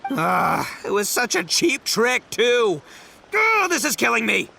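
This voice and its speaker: A man voicing a brash, boisterous young male gang leader in a game, loud and slangy. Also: extremely high pitch, huge pitch swings, slow pace, vocal fry, a little hiss or hum, full character voice. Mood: excitable. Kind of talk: game cutscene voice acting.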